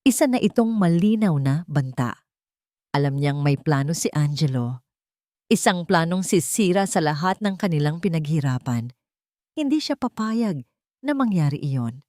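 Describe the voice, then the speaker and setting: A woman with a fairly low voice narrates a romantic drama from a script. The recording is dry and close, with very little room sound. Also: expressive, normal speed, warm tone, no echo. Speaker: story narrator.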